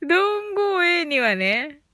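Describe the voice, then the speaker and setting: A woman's voice: very high-pitched, sing-song, measured pace, sharp tone, dry recording, studio quality. A woman hosting a casual live audio stream, chatting playfully with listeners, giggly and often repeating phrases with amusement. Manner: giggly, breaks into frequent laughter.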